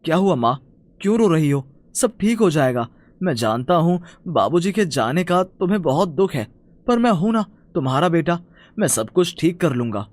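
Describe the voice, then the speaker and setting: Earnest young son, animated pitch, man acting. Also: normal speed, no echo. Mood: concerned, reassuring. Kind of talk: cartoon fairy-tale voice acting.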